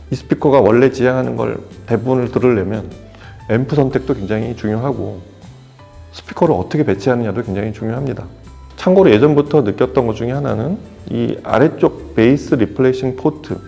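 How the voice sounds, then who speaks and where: A man giving an explanatory product review as an audio equipment reviewer, talking in a measured way with emphatic pauses. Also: medium pitch, gravelly, warm tone.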